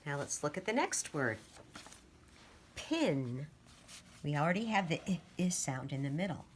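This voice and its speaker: Female early-years teacher, low register, unhurried, deliberate enunciation for read-aloud and phonics, slight background noise. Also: expressive. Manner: sounds out letters and words deliberately. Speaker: teacher reading to young children.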